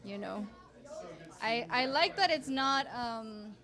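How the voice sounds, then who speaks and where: A woman's voice: a little high, animated, takes it slowly, sharp tone. A young woman from Costa Rica, an art teacher, chatting enthusiastically in an interview at an art gallery, laughing often. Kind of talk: casual interview chat.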